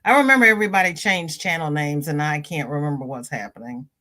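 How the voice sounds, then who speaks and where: Stuffy-nosed woman chatting on a livestream. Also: fast. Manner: chatty, greets viewers by name with high bright lifts. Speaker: crochet channel host. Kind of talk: casual livestream chat, reading viewer comments.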